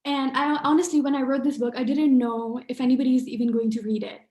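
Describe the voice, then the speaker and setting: A woman's voice: sits high, level tone, fast, darkish tone. A young woman from Pakistan, an author speaking at a book event, earnest with many fillers and restarts. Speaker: author and artist. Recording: echoey room.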